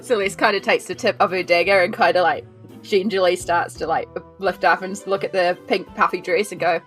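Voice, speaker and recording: A woman's voice: fairly low pitch, fairly even intonation, fairly quick, a bit bright, soft background music. A woman chatting casually as a player in an improvised tabletop game.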